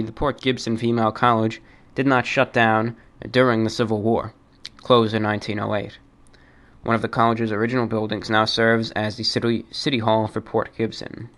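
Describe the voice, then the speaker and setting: Low-pitched, even-toned man hosting. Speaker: host of a fan mail radio show. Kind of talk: unscripted talk, reading and answering listener mail.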